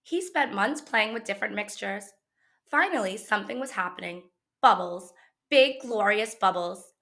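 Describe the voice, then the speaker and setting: A woman reading a children's picture book aloud as a teacher would, in an expressive storytelling manner. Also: medium pitch, moderate pace, vocal fry.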